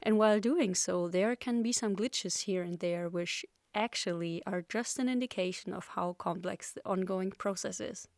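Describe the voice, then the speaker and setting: A woman's voice: medium pitch, moderate pace, gravelly, few pauses, a little mellow, no echo. Woman narrating a podcast, dry sound. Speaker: podcast host. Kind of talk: scripted narration.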